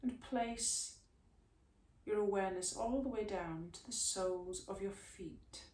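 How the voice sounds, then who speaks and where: A woman teaching a yoga class, giving slow, soothing guidance through stretches and a guided relaxation. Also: medium pitch, darkish tone. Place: room, recorded at a distance from the camera mic. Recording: echoey room, mic at a distance, faint hiss.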